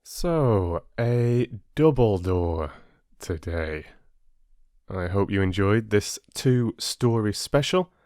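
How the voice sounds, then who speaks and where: Unhurried low-voiced male storyteller. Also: animated, a little mellow, no room sound, studio quality. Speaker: audiobook narrator and storyteller. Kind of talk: expressive storybook reading and listener chat.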